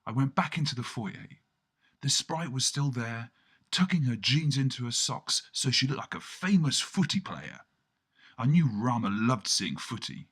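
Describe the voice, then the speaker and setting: A man's voice: medium pitch, big pitch swings, unhurried, a bit bright, slightly rough. A man narrating a scripted first-person comic monologue, acting out the dialogue as he goes. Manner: performs the dialogue of the characters within the telling. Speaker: storyteller and narrator.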